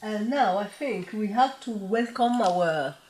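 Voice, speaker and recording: A woman hosts a talk show interview with halting, hesitant questions. Her voice is of middle pitch, recorded in a studio with faint background noise. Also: lively intonation, darkish tone, low-quality recording.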